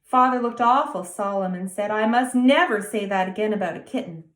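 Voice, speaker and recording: A woman's voice: mid-range voice, fairly quick, echoey room, natural voice. A woman voicing a serious, earnest small boy of nearly ten in a storybook reading. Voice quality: grave, emphatic childlike delivery.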